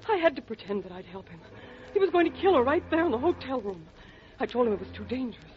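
Pleading young radio femme fatale. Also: female voice, a little high, big pitch swings, fast. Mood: frantic. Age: young adult. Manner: breathless, pleading, emotional. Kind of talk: 1940s radio melodrama acting. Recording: dull, noisy old radio recording.

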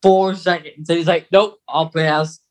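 A woman's voice: fairly low pitch, steady tone, unhurried, darkish tone. A woman co-hosting a podcast, talking casually as part of a review conversation. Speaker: podcast co-host. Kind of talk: casual review chat about a radio drama.

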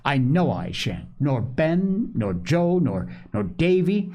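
A man reads as an eager young storybook girl with swooping, animated pitch. She is decisive and anxious to help those around her. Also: slow pace, exaggerated voice.

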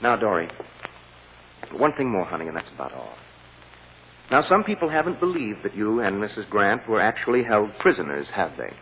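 An actor playing an eloquent, protective defense lawyer in a 1940s radio drama, solemn and measured with dramatic pauses. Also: male voice, lowish voice, very animated. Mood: gentle. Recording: muffled noisy old radio, reverberant hall.

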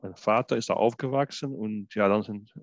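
A man from Belgium, a guest interpreter on a podcast, talking casually and haltingly, full of fillers and restarts. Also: fairly low pitch, dry recording. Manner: hesitant, many fillers and restarts. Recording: dull, lo-fi, dry sound.

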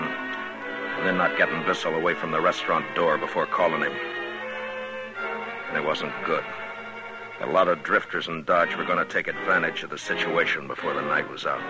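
A very deep, grim American lawman, terse and deadpan, tells his frontier story in clipped, commanding lines. It is a vintage radio western recording with music underneath. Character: stoic, grim frontier marshal. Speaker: lead actor and first-person narrator. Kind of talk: 1950s radio western acting, scripted narration.

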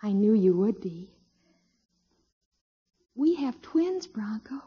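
A woman playing a tender, overjoyed young new mother with a breathy voice, in 1950s radio drama. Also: a little high, very animated, slow pace, heavily acted. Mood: hushed, tender. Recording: dull old radio recording.

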